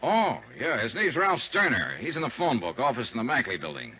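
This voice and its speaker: An actor playing a tough, sardonic investigator who wisecracks and narrates in clipped hard-boiled style in a 1950s radio drama. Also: male voice, medium pitch, huge pitch swings, a little fast, sharp tone. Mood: wry, businesslike. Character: tough, sardonic, unbribable male insurance investigator. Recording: dull, noisy 1950s radio recording.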